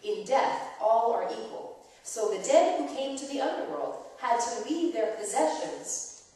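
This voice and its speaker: A woman storyteller reads a myth with expressive, swooping intonation in a fairly high voice. She explains things as if to children. The recording is distant and heavily echoing, from a live church performance. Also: a little slow. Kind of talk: dramatic reading of a myth, storytelling. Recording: very echoey church hall, distant microphone.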